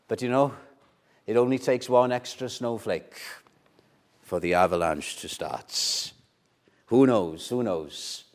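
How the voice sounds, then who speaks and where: An older man from Wales, a Welsh speaker, preaching a sermon in a conversational way with rhetorical questions and repeated words. His voice swells and then drops almost to a whisper. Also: mid-range voice. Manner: loudness rises and falls widely, dropping to near whispers. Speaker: pastor.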